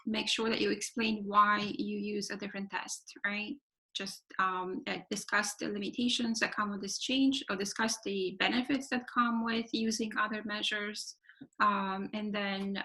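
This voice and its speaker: Woman with a gravelly edge. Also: mid-range voice, pushed and bright. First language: Romanian. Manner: explanatory, frequent "right?" tags and fillers. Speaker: clinical evaluator giving a webinar talk. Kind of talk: webinar presentation. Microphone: video call.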